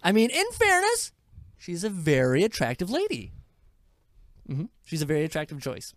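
A woman's voice: lowish voice, huge pitch swings, moderate pace, no room sound, studio quality. A woman hosting a casual film review chat, speaking emphatically and with strong opinions. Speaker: film review podcast host.

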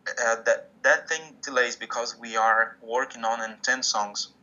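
Casting: bright, sharp-toned male musician, Portuguese first language, careful halting English, dull lo-fi video call sound. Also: mid-range voice, fairly even intonation. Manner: hesitant, restarts often, searching for English words. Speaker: musician guest. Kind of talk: interview conversation.

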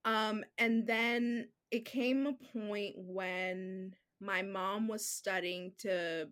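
A woman's voice: medium pitch, extremely slow. Chatty woman, Spanish her first language. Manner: relaxed, chatty, heavy use of "like" and "um". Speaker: children's book author, guest. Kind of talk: casual podcast conversation.

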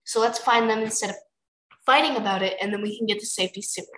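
A woman reading the part of a gentle, earnest, food-loving young male dragon in a storybook. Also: mid-range voice, quick pace, roomy sound, lightly acted, rough recording. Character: hungry, good-natured young male dragon, gentle and mournful.

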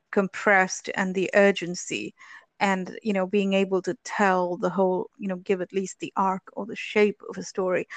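A woman's voice: mid-range voice, no echo. A woman hosting an interview, chatty and admiring as she asks about a guest's writing. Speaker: interviewer, host. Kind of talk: interview conversation.

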